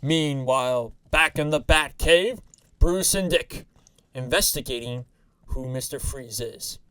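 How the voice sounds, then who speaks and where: A man's voice: medium pitch, slow, fairly bright tone, smooth, no room sound. A man reading dramatic scripted narration for an audio drama.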